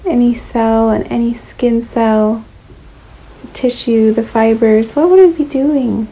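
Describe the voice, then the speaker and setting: A woman leading a guided relaxation meditation in a soothing, unhurried manner, leaving long pauses between short phrases. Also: a little high, dark tone, breathy edge, low-quality recording.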